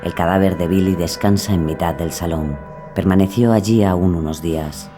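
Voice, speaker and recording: A man's voice: low voice, normal speed. A man narrating a true-crime story from a script in a dramatic style. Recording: music bed behind the voice. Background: music.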